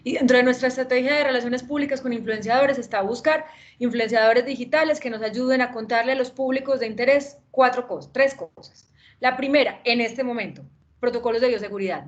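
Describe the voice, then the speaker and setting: Animated woman explaining public relations. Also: fairly high pitch. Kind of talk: academic panel talk. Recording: dull lo-fi sound.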